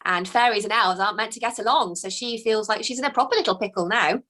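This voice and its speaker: A woman author reading her children's book aloud with expressive, unhurried storytelling. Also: medium pitch.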